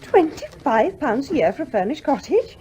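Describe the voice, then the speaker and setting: A young English widow in a radio drama, gentle yet determined. Her voice is fairly high and mellow, and it moves expressively up and down. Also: very animated, normal speed. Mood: eager, insistent. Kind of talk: radio play acting.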